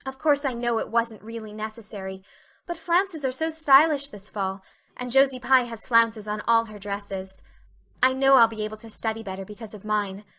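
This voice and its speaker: A woman's voice: on the high side, moderate pace, pushed and bright. A woman voicing an earnest, talkative, imaginative teenage girl with effusive, dramatic delivery in a reading of a classic novel. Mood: earnest, chatty.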